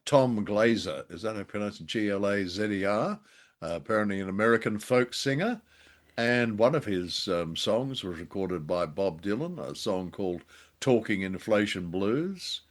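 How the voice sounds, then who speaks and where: A man's voice: lowish voice, expressive, normal speed. A man co-hosting a podcast, giving a casual review with wry, jokey exaggeration. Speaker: podcast co-host.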